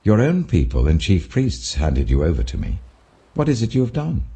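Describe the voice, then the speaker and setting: A brusque Roman governor with a British accent, voiced by a male narrator, questions with weary, imperious authority. The voice is very low and gravelly, swinging sharply in inflection, as in a dramatised audiobook. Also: huge pitch swings, moderate pace, dark tone, exaggerated voice. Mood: scornful.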